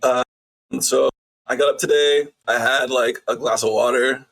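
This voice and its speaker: A hoarse man, a player on the stream, chatting casually and laughing at his own jokes. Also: mid-range voice, fairly even intonation, moderate pace, fairly bright tone, rough recording. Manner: giggly, self-mocking. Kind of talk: casual chat.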